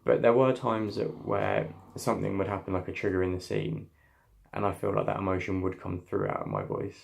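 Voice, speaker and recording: A young man with a slightly deep, heavily gravelly voice talks through his scene work. His delivery is thoughtful and halting, full of fillers and restarts as he searches for words. He sounds like a drama student reflecting on his practice. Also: lively intonation. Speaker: acting student.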